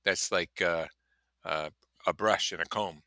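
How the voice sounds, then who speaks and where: A man with a fairly low, very bright voice reads a classic story aloud. He is expressive and dramatic, and explains things casually, in a dry, close recording. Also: unhurried, dry recording. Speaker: reader and commentator. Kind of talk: expressive audiobook-style reading with casual asides.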